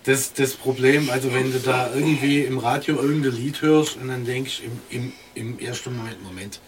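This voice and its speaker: Singer guy talking casually in an interview, medium pitch, bit far from mic, some noise. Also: steady tone, moderate pace. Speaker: singer and lyricist being interviewed. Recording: slightly distant mic with clear background noise.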